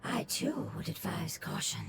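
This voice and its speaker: Creaky, cautious formal companion. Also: female voice, lowish voice, huge pitch swings, in a whisper, heavily acted. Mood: grave, cautious. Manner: measured, formal. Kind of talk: video game voice acting. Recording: loud background music.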